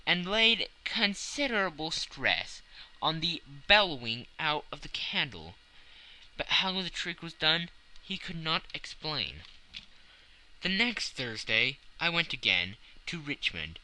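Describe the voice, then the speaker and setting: A male narrator reads classic fiction in a very bright, sharp-edged voice pitched a little high. His intonation rises and falls widely, yet his phrasing stays measured and literary, with deliberate pauses for drama. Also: sing-song, rough recording. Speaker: audiobook narrator. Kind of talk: dramatic scripted audiobook narration of a classic novel.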